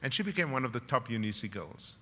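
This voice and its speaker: A middle-aged man with a South African English accent delivers a ceremonial graduation speech, telling personal stories with dry humor and sudden drops into hushed emotion. His voice is bright and forceful. It rings out through a large hall with a long reverb. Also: medium pitch, lively intonation, fast talker. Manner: dramatic storyteller, swings from loud punchlines to hushed emotion, wry humor. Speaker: university professor speaking at a graduation.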